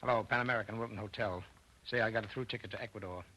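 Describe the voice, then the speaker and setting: An actor playing an obliging, matter-of-fact hotel travel clerk in a 1940s radio drama. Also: male voice, fairly low pitch, steady tone, very fast, bright, forceful tone, husky. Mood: obliging, businesslike. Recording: noisy old radio recording.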